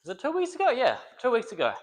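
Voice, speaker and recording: A man's voice: extremely high pitch, huge pitch swings, very fast. A young man, a personal trainer, chatting casually and joking while he works out, a little congested from a cold. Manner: rambling, joking, thinks aloud between sets. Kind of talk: casual workout vlog talk.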